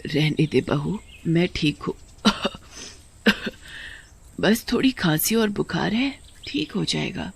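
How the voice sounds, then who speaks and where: A woman voicing a sick, gentle elderly mother-in-law in a moral story, weak and resigned. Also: very animated, slow pace, creaky, slightly rough, heavily acted. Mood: weak, reassuring. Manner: weak, low-energy, soothing.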